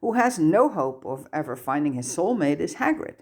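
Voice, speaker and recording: A woman's voice: deep voice, big pitch swings, moderate pace, a little mellow, breathy edge. A woman narrating a piece of fiction from a script, as an audiobook reader does.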